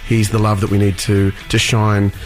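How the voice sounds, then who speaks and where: A man's voice: fairly low pitch, monotone, moderate pace, faint music behind. A middle-aged Australian man, a singer guesting on a radio interview, speaking earnestly and often repeating words as he searches for them.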